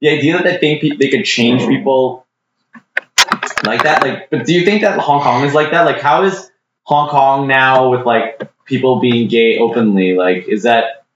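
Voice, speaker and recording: A man's voice: medium pitch, normal speed, far from the mic, noisy background. Male podcast host, loose and rambling, recorded off-mic in a roomy space with a dull, lo-fi sound. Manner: rambling, heavy on "like" and "um". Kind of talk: casual chat.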